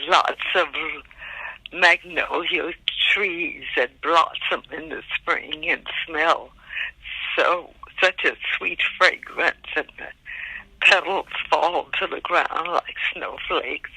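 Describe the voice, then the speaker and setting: An older woman in her eighties, from Montgomery, Alabama, tells her story unhurried, pausing often. Her voice is gravelly and husky yet bright. The sound is dry and close. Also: deep voice, no room sound, poor audio. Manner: slow and reflective, soft-spoken with pauses. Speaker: interviewee, great-aunt. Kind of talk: recorded family oral history.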